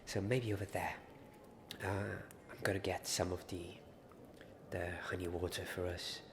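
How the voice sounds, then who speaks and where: A man playing a mild, helpful character in an ASMR fantasy roleplay, speaking softly, gently and with musing pauses. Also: low-pitched, little pitch movement. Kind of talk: soft-spoken ASMR roleplay. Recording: clear background noise.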